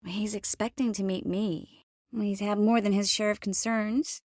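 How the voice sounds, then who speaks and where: Casting: actress for young game sorceress, medium register, wry and self-assured with soft intimate murmurs, very dry recording. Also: female voice, medium pitch, steady tone, measured pace, dry recording, close to an everyday voice. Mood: conversational, composed. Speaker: voice actor in a video game. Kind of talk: scripted game character dialogue. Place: close studio recording.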